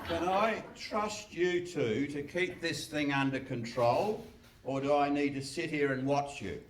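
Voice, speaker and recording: Actor as exasperated scriptwriter, slow, echoey hall. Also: male voice, a little high, a little hiss or hum, natural voice. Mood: grudging. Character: exasperated male scriptwriter heckling from the audience. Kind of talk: live radio play acting. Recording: distant echoey live hall.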